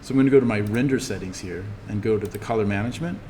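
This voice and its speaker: A man giving a casual, easygoing software tutorial as an instructor, thinking aloud as he explains each step. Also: lowish voice, racing. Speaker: instructor teaching a 3D animation class. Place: indoors, echoing classroom. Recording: reverberant room with background noise.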